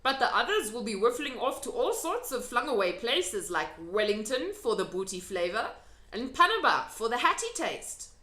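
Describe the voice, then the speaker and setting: Woman voicing an excitable, high-pitched storybook giant. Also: moderate pace. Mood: eager, explaining. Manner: excitable, emphatic, quaintly mangled grammar. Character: friendly old male giant with muddled folksy grammar. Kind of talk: children's storybook reading. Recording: echoey room.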